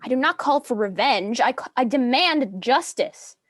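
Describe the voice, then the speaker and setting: A woman's voice: high voice, lively intonation, normal speed. A woman playing a proud, defiant young nun in a dramatic script reading, commanding and emphatic. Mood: angry, defiant.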